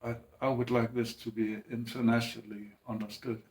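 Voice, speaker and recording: A man speaking in a halting, hesitant way, with restarts and long pauses, in a personal monologue. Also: medium pitch, flat delivery. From Greenland. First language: Danish.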